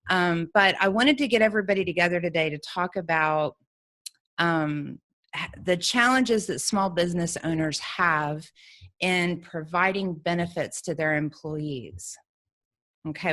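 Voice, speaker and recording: Female host, low register, conversational interviewing. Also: fairly bright tone. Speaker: show host.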